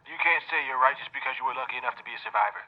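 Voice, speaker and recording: A man's voice: medium pitch, lively intonation, very fast, gravelly. A man playing an edgy, scornful, argumentative security guard in an audio drama. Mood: rattled, defiant. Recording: dull lo-fi radio-like sound.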